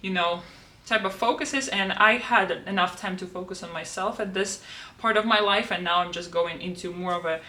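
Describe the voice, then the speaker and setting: Bright-voiced young woman. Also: on the low side, moderate pace, distant mic. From Russia. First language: Russian. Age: 20s. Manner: chatty, many fillers and restarts. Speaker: vlogger. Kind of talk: casual planner walkthrough. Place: home room.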